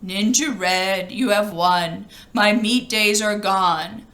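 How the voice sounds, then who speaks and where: A woman's voice: mid-range voice, takes it slowly, a bit bright. A woman voicing a hungry, sly male wolf from a storybook, with a wheedling, complaining way of talking. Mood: resigned.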